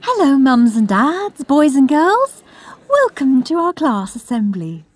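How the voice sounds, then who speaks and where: Woman as a high, sing-song primary schoolteacher. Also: big pitch swings, slow, exaggerated voice. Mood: gushing. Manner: sing-song, addressing children. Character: bright, gushing primary school woman teacher. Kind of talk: children's audiobook character voice.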